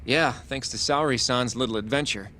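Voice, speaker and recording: A man's voice: medium pitch, normal speed, pushed and bright, slight background noise, close to an everyday voice. A man voicing a polite, earnest, slightly nervous young lawyer in an English game dub. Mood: upbeat.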